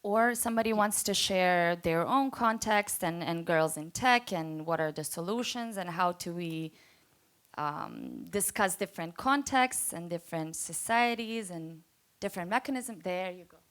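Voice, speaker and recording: A woman from Bosnia and Herzegovina with a Bosnian accent, a non-native English speaker giving an earnest, unscripted talk as a panelist at a conference workshop, with many filler pauses. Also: lowish voice, a bit bright. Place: conference room.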